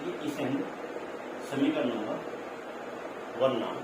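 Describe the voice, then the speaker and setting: A man's voice: mid-range voice, takes it slowly. Man lecturing in a very echoey room. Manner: explanatory, repetitive, with check-in tags. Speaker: physics teacher. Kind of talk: classroom lecture mixing in English terms. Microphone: distant microphone. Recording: very echoey, distant mic, clear noise.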